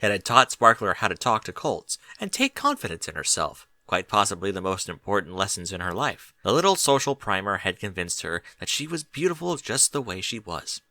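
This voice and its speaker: Bright-voiced man narrating a story with lively pitch. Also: fairly quick, few pauses, no echo. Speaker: audiobook narrator reading fan fiction.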